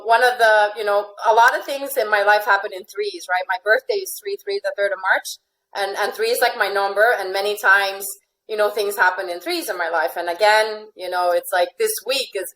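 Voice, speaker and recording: A woman's voice: mid-range voice, fairly even intonation, moderate pace, fairly bright tone, poor audio. Female interview guest, American accent, life coach, chatty and conversational, repeats phrases. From born in Finland, grew up in Venezuela. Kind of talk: casual conversational interview answers.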